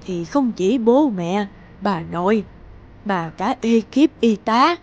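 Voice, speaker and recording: A woman voicing a gentle, devoted grandmother who tells a family tale in a measured, tender cartoon storytelling voice. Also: mid-range voice, animated, muffled recording, slight background noise. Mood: gentle, nostalgic. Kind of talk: animated storytelling.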